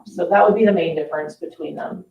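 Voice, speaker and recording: A woman's voice: fairly low pitch, fast. A woman explaining things informally and with some hedging at a board meeting, as a parks staff member. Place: indoor public board meeting room. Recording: echoey meeting room, distant dull mic.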